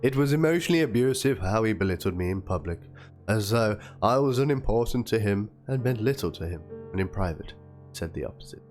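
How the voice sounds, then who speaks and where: Low-voiced man doing a dramatic reading. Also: lively intonation, fairly quick, a little mellow. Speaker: narrator. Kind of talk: dramatic reading of a written document. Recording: music under the voice. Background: background music.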